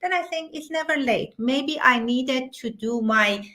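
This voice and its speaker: A woman's voice: sits high, measured pace, bright, forceful tone. A middle-aged woman chatting eagerly in a live online interview, promoting a business with frequent restarts.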